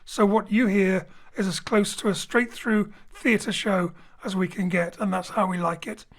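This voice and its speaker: A man hosting and introducing an audio drama from his home studio, relaxed and lightly joking. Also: high-pitched, unhurried, vocal fry. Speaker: host and director. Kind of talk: friendly show introduction. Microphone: home studio mic.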